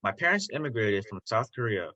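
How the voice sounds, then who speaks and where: A teenage boy from the United States delivering a prepared competition speech in an earnest, measured way. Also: fairly low pitch, sing-song, pushed and bright, no room sound, highs cut off. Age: teens. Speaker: student speaker.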